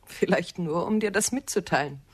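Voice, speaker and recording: A woman's voice: lowish voice, normal speed, husky. An actress playing a bitter, proud, scornful woman with curt, cool delivery in a radio drama. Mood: cold reproach. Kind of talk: German radio drama acting.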